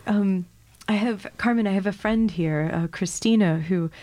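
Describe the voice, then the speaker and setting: A woman's voice: mid-range voice, normal speed, mellow, no echo. A woman interviewing an author, speaking eagerly with stumbling restarts. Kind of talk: conversational interview.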